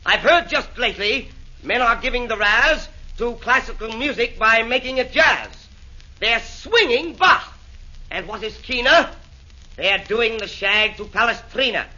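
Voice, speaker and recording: A fussy, music-snob Nero. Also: male voice, extremely high pitch, expressive, unhurried, pushed and bright, lo-fi sound, full character voice. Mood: scheming. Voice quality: affected, connoisseur airs. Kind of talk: 1940s radio acting.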